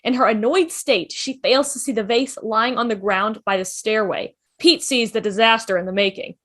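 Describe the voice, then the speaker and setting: A woman's voice: on the high side, steady tone, moderate pace, fairly bright tone. A woman reading stage directions as scripted narration in a fan table read.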